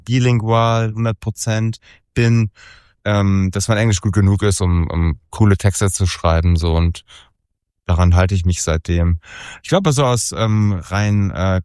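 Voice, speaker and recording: A man's voice: lowish voice, a little mellow, dry recording, clean studio sound. A man in his forties, a musician being interviewed, talking casually and rambling with many fillers and restarts. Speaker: musician guest.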